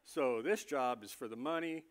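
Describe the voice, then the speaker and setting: A man acts out a jittery, chatty doctor in a comic stage reading. The voice is medium-pitched, casual and confiding, with halting phrases. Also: close to an everyday voice. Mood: confiding, nervous. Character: chatty, jittery male doctor moonlighting as security supervisor.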